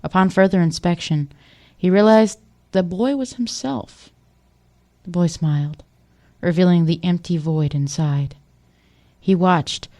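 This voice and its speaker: A woman's voice: on the low side, dark tone. A woman giving scripted narration for an audio drama.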